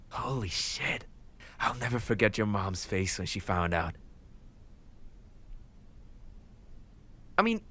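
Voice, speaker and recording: A man playing a tough but soft-hearted gang leader boyfriend in an intimate romantic audio roleplay, affectionate and playfully teasing, with mock complaints. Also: medium pitch. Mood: nostalgic, amused.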